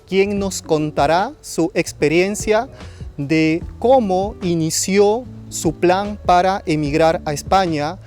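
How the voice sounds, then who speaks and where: Brief: male host, fairly high voice, upbeat and exclamatory, faint music behind. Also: darkish tone. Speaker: YouTube channel host. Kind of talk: friendly interview hosting.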